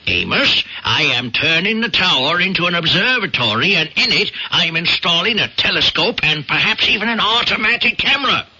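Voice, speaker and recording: An actor playing a pompous, zealous older newspaper boss with a husky voice and a bombastic, commanding manner in an old-time radio drama. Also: male voice, high-pitched, very animated, normal speed, hardly any pauses, pushed and bright, heavily acted. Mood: grandly excited. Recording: muffled vintage radio broadcast.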